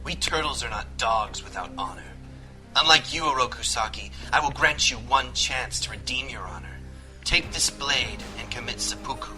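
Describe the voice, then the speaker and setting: Guy doing a heroic young ninja turtle leader, slowish, very up and down, earnest comic book style over music. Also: medium pitch, animated, sharp tone, rough recording. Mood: stern, resolute. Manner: earnest, declamatory, deliberate. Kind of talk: fan-made comic book voice acting.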